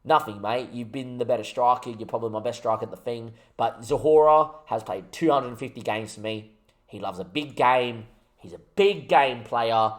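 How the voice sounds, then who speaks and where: A young man commentating his football management game on a live stream, chatting to viewers and rallying with excitable talk. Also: medium pitch, moderate pace. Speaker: gaming streamer.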